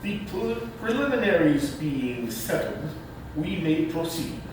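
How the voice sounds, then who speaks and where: A distant, noisy hall recording of a man acting a pompous middle-aged uncle. He speaks slowly and formally, with sardonic stage-comedy weight on his words. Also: sing-song, darkish tone. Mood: formal, stern. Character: pompous, wordy bachelor uncle of forty-two, easily affronted. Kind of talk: staged readers theater comedy. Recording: echoey hall, far-off mic, clear rumbling noise.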